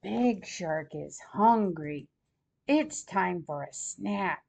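A woman in her sixties reading a children's storybook aloud in a deliberate, expressive way for young listeners. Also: lowish voice, vocal fry. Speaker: grandmother host reading picture books to children. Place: small plain room at home, seated in armchair.

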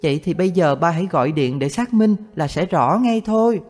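A man's voice: fairly high pitch, lively intonation, fairly quick, darkish tone, pitched above the performer's own voice. A man voicing his young schoolgirl daughter, who earnestly lectures her father. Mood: scolding. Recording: long hall reverb, faint music.

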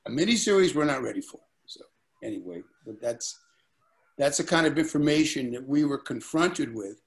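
A man's voice: mid-range voice, lively intonation, moderate pace. Older male co-producer from Puerto Rico, webcam, easy storyteller. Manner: storytelling, recounting with quoted dialogue. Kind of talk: casual video call discussion. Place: lamp-lit living room at home. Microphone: webcam on a video call.

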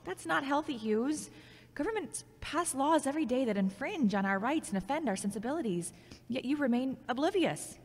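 A woman playing a confident, ambitious radio journalist with a teasing, warm manner in an audio drama. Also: high voice, expressive, normal speed. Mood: proud, probing. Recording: echoey hall-like reverb.

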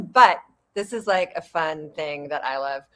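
A woman's voice: sits low, animated, slow, fairly bright tone. A woman speaking casually as a theatre writer on a panel, over a video call from a room at home.

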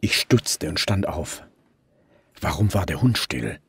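An actor playing a brooding middle-aged police detective, narrating his case in a measured way for a crime radio drama. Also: low-pitched, very animated, a bit bright, slight background noise, exaggerated voice. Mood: tense, measured. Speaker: narrator and lead investigator. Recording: music bed under voice. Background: music.

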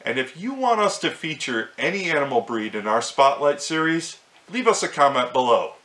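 A man's voice: mid-range voice, sing-song, fairly bright tone. Middle-aged man presenting in an echoey room. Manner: clear, measured reading to camera. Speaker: host. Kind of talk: scripted farm-animal presenter talk.

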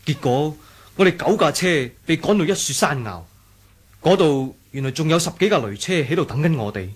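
A man's voice: a little high, expressive, a little fast, slightly rough. A man with a Hong Kong Cantonese accent playing a loyal, watchful rebel fighter who reports earnestly in a radio drama. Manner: earnest, vivid storytelling. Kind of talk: 1980s radio drama acting.

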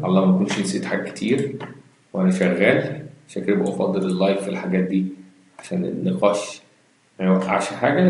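A man's voice: medium pitch, creaky, a little mellow. A man with an Egyptian Arabic accent teaching a database lesson over a screen recording, explaining step by step, mixing in English terms and asking rhetorical questions. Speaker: computer science instructor. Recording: very echoey room.

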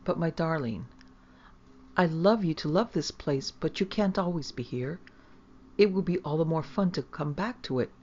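A woman's voice: low-pitched, animated, warm tone, highs cut off. Woman acting a fond but firm mother. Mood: coaxing. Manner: brisk, coaxing, reproving.

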